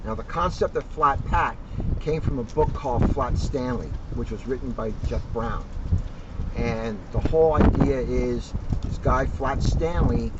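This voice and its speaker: A man, a police officer, talking casually to children as part of a picture-book read-aloud. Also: mid-range voice. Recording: dull lo-fi sound, loud outdoor traffic noise.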